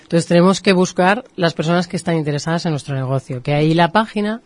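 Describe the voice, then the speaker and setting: A woman's voice: on the low side, quick pace. A woman with a Spanish accent from Spain, a marketing professional explaining things patiently on a radio show. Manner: explains patiently, with lively examples. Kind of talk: explanatory interview talk.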